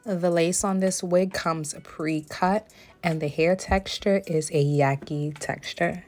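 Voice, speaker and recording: Low-voiced woman, slightly hoarse vlogger. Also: takes it slowly, faint music behind. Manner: step-by-step explaining, relaxed. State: hoarse, recovering from losing her voice. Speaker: beauty vlogger reviewing a wig. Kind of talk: product review voice-over.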